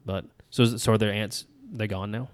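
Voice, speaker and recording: A man's voice: fairly low pitch, fairly even intonation, a little fast, no echo. A man, co-hosting a podcast in a folksy, rambling, self-deprecating way. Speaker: podcast co-host. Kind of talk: casual chat.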